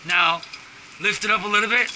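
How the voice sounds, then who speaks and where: Higher-voiced man calling out lift instructions. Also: steady tone, very noisy. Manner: calls out quick repeated instructions. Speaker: HVAC technician. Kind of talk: directing a lift on the job. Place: inside an industrial cooling tower. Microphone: head-mounted action camera. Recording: loud machinery clanking behind.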